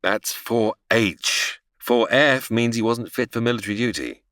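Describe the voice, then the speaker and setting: A man's voice: mid-range voice, measured pace, fairly bright tone, dry recording, clean studio sound, natural voice. A man playing a senior angel who narrates an audio drama, explaining patiently but easily exasperated. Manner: explanatory, storytelling.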